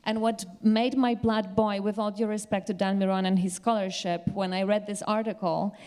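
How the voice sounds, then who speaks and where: Female literary commentator, average pitch. Informal but scholarly, with stop-and-start phrasing as she recalls things. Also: little pitch movement, normal speed, a little mellow, clear, resonant tone.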